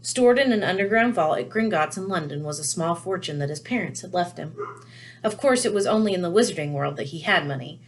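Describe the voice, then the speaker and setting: Female storybook narrator, read-aloud delivery, voice a touch on the low side. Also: expressive, brisk.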